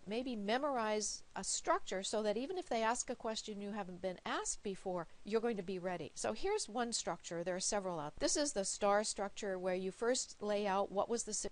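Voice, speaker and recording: A woman coaching viewers with practical advice in an emphatic, encouraging, conversational way. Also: medium pitch. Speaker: recruiter giving interview coaching.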